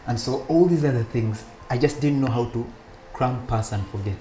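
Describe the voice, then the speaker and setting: A man speaking as an interview guest, telling stories about his school years in a relaxed way, with frequent laughs and restarts. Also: medium pitch, normal speed, slight background noise, low-quality recording. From Uganda. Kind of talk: relaxed conversational storytelling.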